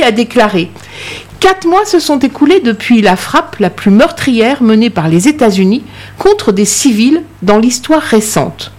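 A woman's voice: on the high side, darkish tone. A woman on a radio panel reading out news items and quoted statements.

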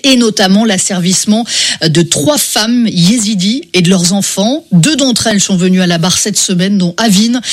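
A woman presenting radio news, reading the bulletin at a measured pace with occasional hesitations. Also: medium pitch.

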